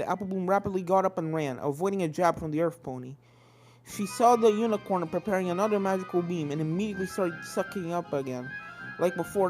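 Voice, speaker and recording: A woman reading a scripted story aloud as its narrator. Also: fairly low pitch. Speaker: fan fiction reader, narrator.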